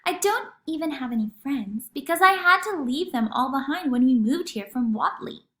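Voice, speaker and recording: Woman voicing an earnest young girl, high and echoey. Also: lively intonation, normal speed, a bit bright. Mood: wistful. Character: ten-year-old girl, earnest, motherless, hopeful. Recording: echoey room.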